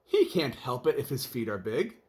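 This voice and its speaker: A man's voice: medium pitch, sing-song, normal speed, darkish tone, natural voice. A man voicing a cheerful, literal-minded housekeeper woman in a children's storybook, eager and matter-of-fact. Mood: indignant, innocent.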